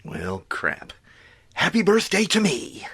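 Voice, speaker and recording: Middle-aged superhero, animated and weary. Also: male voice, on the high side, takes it slowly, background noise, heavily acted. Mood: wry dismay. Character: middle-aged male superhero, weary, reassuring, wryly self-deprecating. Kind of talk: audio drama acting.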